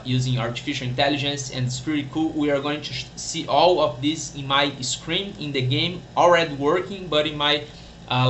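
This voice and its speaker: A man's voice: medium pitch, a bit bright, slight background noise. A man, a game developer presenting a screen-recorded demo, who often restarts sentences while searching for words. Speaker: game developer and server owner.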